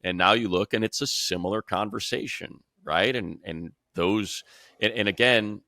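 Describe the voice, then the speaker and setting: Man, sports show commentator, low pitch, emphatic delivery, dry close sound. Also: normal speed, bright, forceful tone, no room sound. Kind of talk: sports talk discussion.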